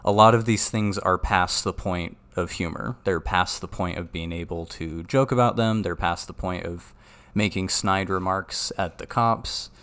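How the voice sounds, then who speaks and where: A man's voice: low voice, unhurried, a bit bright, clean tone. A man co-hosting a political podcast, talking casually with dry sarcasm. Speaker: podcast co-host.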